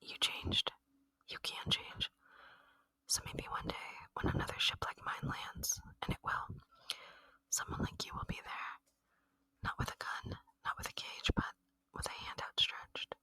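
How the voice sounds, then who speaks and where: A woman voicing a gentle, lonely female alien in an ASMR roleplay, whispering tenderly and intimately in a breathy voice, close to the microphone. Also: dry recording, rough recording. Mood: tender, hopeful. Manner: slow, tender, intimate, earnest. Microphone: close microphone, dry.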